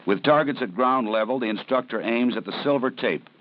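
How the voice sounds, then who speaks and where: A man narrating a 1970s police training film in a measured, serious, instructive way. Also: medium pitch. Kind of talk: scripted documentary narration. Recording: dull 1970s film soundtrack with faint hiss.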